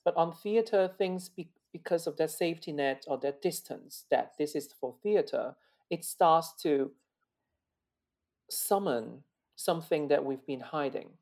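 A man's voice: measured pace, gravelly, darkish tone. A man, a guest opera singer talking earnestly and reflectively in an interview, often restarting and repeating words. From China. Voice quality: high male voice. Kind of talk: interview conversation.

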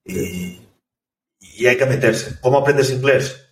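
A man, a software developer, talking casually in an interview, thoughtful and hesitant, with many restarts. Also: mid-range voice, animated, slow. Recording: echoey room, distant from mic.

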